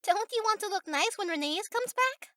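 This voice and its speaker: A woman's voice: very high voice, expressive, moderate pace, sharp tone, dry recording, clean studio sound, full character voice. A woman playing a bossy, cheeky little girl in a children's storybook reading. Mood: teasing. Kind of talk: children's storybook character acting.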